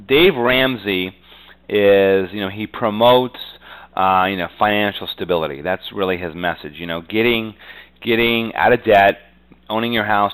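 A man with a low, smooth and resonant voice answers as a guest in a business interview. He talks conversationally, like an agent explaining his trade, and leans heavily on "you know" as he goes. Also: a bit bright, poor audio.

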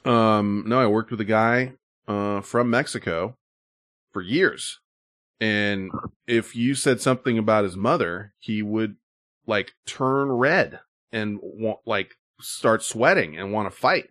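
A man's voice: fairly low pitch, slow, fairly bright tone. A middle-aged man chatting casually on a podcast, telling stories with lots of filler words. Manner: rambling storyteller with filler words. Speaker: podcast co-host. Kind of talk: casual chat.

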